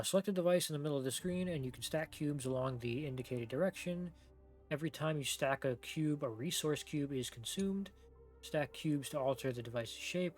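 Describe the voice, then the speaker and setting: A man doing casual game playthrough commentary, thinking aloud in an informal, sweary way and reading on-screen text. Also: mid-range voice. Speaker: game streamer. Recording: game music behind the voice. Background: video game music.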